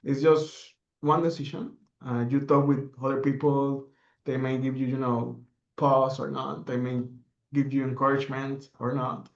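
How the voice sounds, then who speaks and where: A young man from the Dominican Republic speaks as a podcast guest, reflective and earnest and full of fillers. His voice sits at an everyday middle pitch. The sound is roomy and a little dull, as if recorded remotely. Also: little pitch movement, a little slow. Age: young adult. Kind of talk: interview answers.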